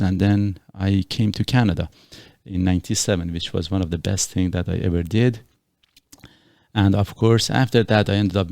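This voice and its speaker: Deep gravelly middle-aged man from Iran. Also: darkish tone, dry recording, studio quality. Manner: earnest, rambling explanations with many fillers. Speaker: podcast host. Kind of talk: casual podcast chat.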